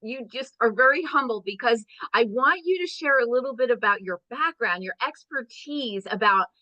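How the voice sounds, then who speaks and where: Effusive woman host, bright highish voice, kinda dull dry audio. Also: animated, moderate pace, no echo. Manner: enthusiastic, effusive. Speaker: host, business coach. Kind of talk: interview hosting.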